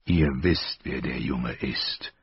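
A man's voice: breathy edge, dry recording, heavily acted. Man doing a grave, patient noble lord. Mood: stern. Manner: low, slow and measured. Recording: dull lo-fi recording.

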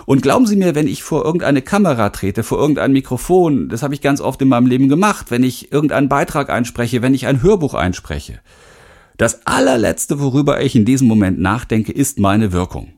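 Middle-aged male speaking coach narrating his own audiobook: candid, emphatic, direct to listener, very animated intonation. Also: mid-range voice, fairly quick. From Rhineland, western Germany. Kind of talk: self-help audiobook narration.

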